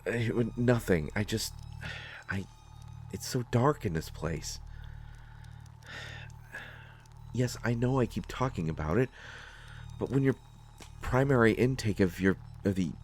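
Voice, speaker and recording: Male actor as anxious, well-meaning sci-fi drama man: deep, halting, stammering delivery, with noticeable background noise. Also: animated, a little mellow, lightly acted. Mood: flustered, apologetic. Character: nervous, awkward, well-meaning man in a dark sci-fi mystery. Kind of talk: solo scripted audio drama, conversational acting.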